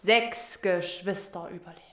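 Radio crime play: cantankerous, proud old woman played by an actress, accusatory and blunt, forceful bright delivery in a roomy space. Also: fairly low pitch, a little slow. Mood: proud, grim. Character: cantankerous, suspicious old woman neighbour, crude, proud, onion-obsessed.

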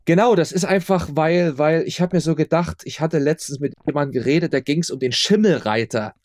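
A man's voice: a little high, brisk, a little mellow, no room sound, clean studio sound. A man chatting casually as an interview guest, talkative and often repeating words for emphasis. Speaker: podcast guest, audio drama maker.

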